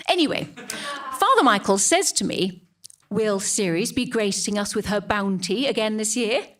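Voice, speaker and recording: A middle-aged, middle-class English churchgoing woman in a comic stage monologue. Her voice sits high and swings dramatically up and down. She confides in the listener with snobbish, self-righteous indignation and heavy emphasis. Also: a bit bright, full character voice. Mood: chatty, self-satisfied.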